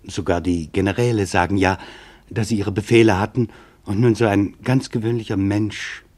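A man narrating a literary documentary audiobook in a measured, reflective way. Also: on the low side, fairly even intonation, a little mellow.

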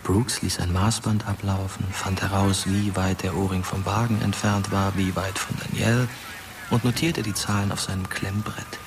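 A man's voice: deep voice, little pitch movement, normal speed, noisy background. An actor playing a brooding, matter-of-fact dead teenage boy who narrates in a radio drama.

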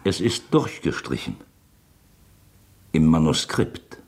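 Husky, deep, brooding elderly uncle. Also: male voice, very animated, darkish tone, heavily acted. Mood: intense, haunted. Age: older. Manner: halting, pensive, dramatic when quoting. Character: brooding elderly uncle, uneasy and fascinated by a manuscript. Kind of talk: radio drama dialogue.

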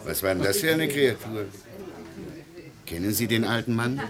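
An actor in a German radio play playing a suave, flattering gentleman guest at a society party. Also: lowish voice, moderate pace, crowd noise, lightly acted. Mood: disdainful.

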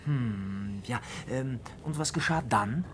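A man playing a detective's attentive, inquisitive gentleman companion in a radio play, asking with interest. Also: mid-range voice, animated, slow, a little hiss or hum. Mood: curious, attentive. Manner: questioning, interested. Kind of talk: German radio play acting.

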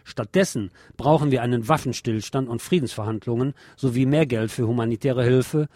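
A man's voice: medium pitch, fairly quick, mellow, no room sound. A man presenting on radio, reading scripted news and political commentary.

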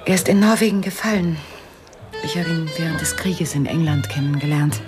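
An actress playing a melancholy, composed married woman in a German radio crime drama, wistful and measured, with hesitant pauses. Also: sits low, music in the background, natural voice. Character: melancholy, composed married woman mourning lost first love.

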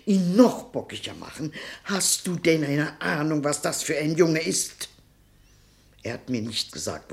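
An actress playing a bitter, outspoken old grandmother in a German radio drama, halting and emphatic, repeating words for weight. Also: a bass voice, gravelly, full character voice. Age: older.

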